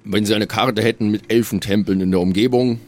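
A man playing in an improvised pen-and-paper game, speaking casually in character in a dry, measured manner. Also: fairly low pitch, fairly even intonation, a little mellow.

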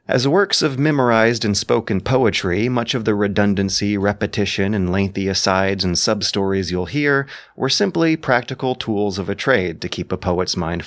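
A man narrating scripted explanatory commentary in an articulate, emphatic way with deliberate phrasing. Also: fairly low pitch. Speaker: narrator and adapter of an audio drama.

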